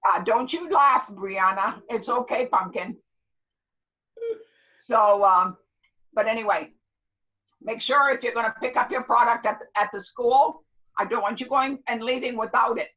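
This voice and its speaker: Woman in her seventies, class instructor, forceful bright tone, emphatic, repetitive, motherly, dull online-call sound. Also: a little high, unhurried, long pauses. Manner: emphatic, repeats herself and checks listeners understand; loud, clear talker. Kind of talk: casual motivational class talk.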